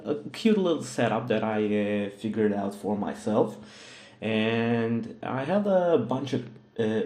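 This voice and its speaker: A man with a Russian accent, hosting a software tutorial in a hesitant way, with many fillers and frequent "all right?" checks. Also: medium pitch. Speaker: tutorial host. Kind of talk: screen-recorded software tutorial. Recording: echoey room.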